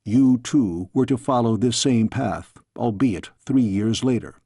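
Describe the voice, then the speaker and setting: A man voicing a fatherly storybook king, grave yet tender, with measured, formal speech. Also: mid-range voice, dark tone, breathy edge, no echo, clean studio sound. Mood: stern.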